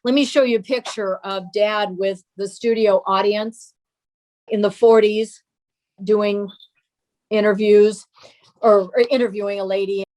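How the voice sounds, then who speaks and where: An older woman speaks as an interview guest, recalling the past. Her voice sits at an ordinary middle pitch, and she explains things through personal anecdotes. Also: steady tone, a little slow, pauses often, a little mellow, low-quality recording. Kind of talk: reminiscing in an interview.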